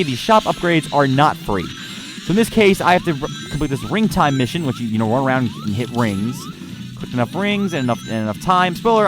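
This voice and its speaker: A man with a slightly high voice narrates gameplay in a casual, off-the-cuff way. He rambles, doubling back to repeat or fix his phrases, while music plays clearly behind him. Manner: rambling, repeats and corrects himself mid-sentence. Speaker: let's play narrator reviewing gameplay. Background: game music under the voice.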